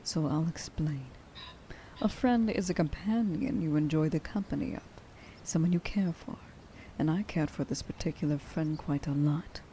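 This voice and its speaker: A woman playing a stern, vengeful werewolf huntress in an ASMR roleplay, speaking to the listener with deliberate contempt and menace, often slipping into a breathy whisper. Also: low voice, mellow, a little hiss or hum, lightly acted, low-quality recording. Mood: bitter grief. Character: stern, vengeful female werewolf huntress, grieving a lost friend.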